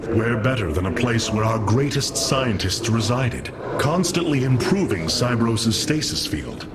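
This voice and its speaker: A man's voice: medium pitch, expressive, a little slow, heavy background noise, lightly acted. An actor playing a noble, resolute male alien leader in a video game, speaking in an earnest, formal way. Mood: explaining. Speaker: game character, leader called Hierarch. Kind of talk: game cutscene voice acting.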